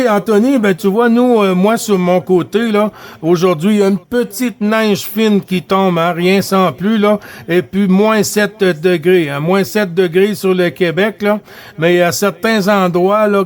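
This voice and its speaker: A man with a Quebec French accent chatting casually as he runs a CB radio round, repeating himself as he relays. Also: sits high. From Quebec, Canada. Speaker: CB radio operator running the round.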